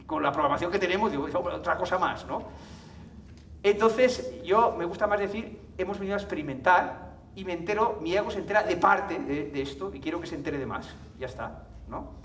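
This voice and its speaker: High-voiced Spanish man lecturing in a hall. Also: brisk, a bit bright, a little hiss or hum. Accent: Spain Spanish. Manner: conversational, often checks in with the audience and chuckles. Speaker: conference speaker. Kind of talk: lecture to a live audience. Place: conference hall. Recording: roomy hall, mic at a distance, faint ambience.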